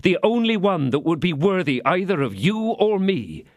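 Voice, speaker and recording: A man voicing an ardent young male swordsman who flatters with theatrical, sentimental pleading. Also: sits high, normal speed, dry recording, studio quality, pitched above the performer's own voice.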